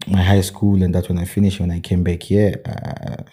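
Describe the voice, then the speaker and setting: A man's voice: low voice, level tone, moderate pace, warm tone, slightly rough. A young man from Congo, guest on a studio podcast, talking casually about himself with many fillers and restarts. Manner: hesitant, many fillers and restarts, laughs easily. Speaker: podcast guest, football coach. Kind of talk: casual interview.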